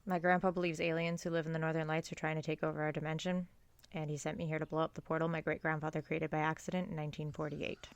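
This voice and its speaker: A woman's voice: deep voice, level tone, fast talker, clear, resonant tone, no echo. A young woman playing an earnest, prim college girl in an audio drama, awkward and easily exasperated. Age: young adult.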